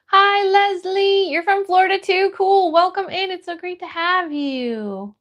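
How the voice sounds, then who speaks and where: A woman with a notably high voice and an American accent hosts a casual livestream. She talks in a friendly, encouraging way as she chats to viewers and reads their comments. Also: measured pace, muffled recording. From United States. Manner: friendly, encouraging, greets people by name. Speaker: livestream host. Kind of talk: casual livestream chat, greeting viewers and reading comments aloud.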